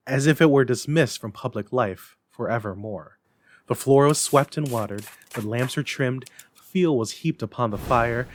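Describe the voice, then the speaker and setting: A man reading a classic story aloud as narrator, in an expressive, measured, dramatic style. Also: mid-range voice, no room sound, clean studio sound. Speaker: narrator, library staff reader.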